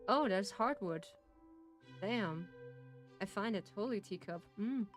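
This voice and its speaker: German-accented woman streamer, slow and gentle. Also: mid-range voice, expressive, faint music behind. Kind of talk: casual gameplay chat.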